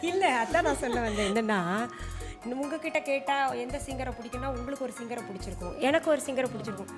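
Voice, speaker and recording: Woman judge, fairly high pitch, spontaneous feedback to contestants, clear music bed. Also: fast talker. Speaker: singing audition judge. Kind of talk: unscripted spoken feedback to contestants. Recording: music behind the voice.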